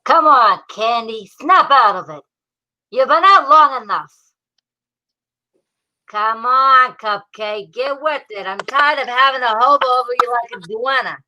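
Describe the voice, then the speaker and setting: Exasperated, teasing police detective played by a woman, high bright voice, hardboiled radio-drama delivery. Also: measured pace, muffled recording. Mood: impatient coaxing. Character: gruff, exasperated, fond police detective Mallard. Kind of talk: old-time radio detective acting, slangy.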